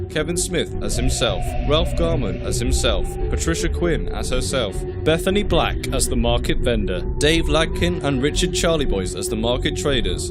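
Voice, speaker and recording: A man reading scripted credits as an announcer for an audio production. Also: medium pitch. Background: loud music.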